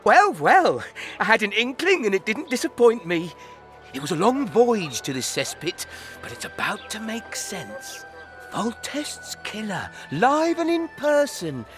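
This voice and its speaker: A smug game villain as a bounty hunter, speaks in a high male voice that swings widely in pitch. He is mocking and theatrical, full of threat, with loud music playing behind him. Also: big pitch swings, a little slow, exaggerated voice. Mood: mocking, gloating. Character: smug, menacing bounty hunter confronting his quarry. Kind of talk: video game character acting.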